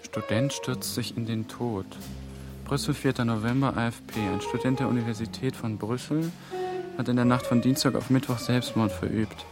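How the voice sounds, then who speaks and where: A man playing a young, careful and sceptical European institution trainee in a radio drama, speaking in a measured way. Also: medium pitch, fairly even intonation, lightly acted. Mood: subdued reading. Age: young adult. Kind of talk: German radio drama dialogue. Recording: music behind the dialogue.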